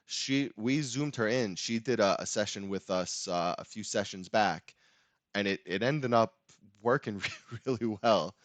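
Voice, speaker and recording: Laid-back low-voiced New man. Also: measured pace, no echo. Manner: laid-back, laughs often, loose rambling talk. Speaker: podcast host. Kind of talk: casual chat.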